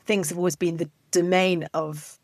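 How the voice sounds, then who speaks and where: A woman's voice: lowish voice, flat delivery, moderate pace, darkish tone, dry recording, studio quality. A woman hosting a podcast interview, asking questions in a reflective way and thinking aloud. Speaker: podcast host.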